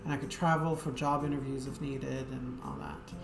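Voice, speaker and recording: A woman's voice: rumbling low, steady tone, moderate pace, gravelly. A woman game streamer chatting casually to viewers in a rambling, hesitant way, with lots of fillers. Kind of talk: casual live-stream chat. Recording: echoey room with game music.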